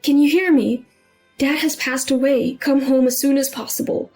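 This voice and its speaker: A woman's voice: high-pitched, fairly even intonation, normal speed, a little mellow, soft background music. A woman narrator voicing an agitated, accusing young daughter-in-law in an urgent, curt way. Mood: frantic. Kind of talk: dramatised story dialogue.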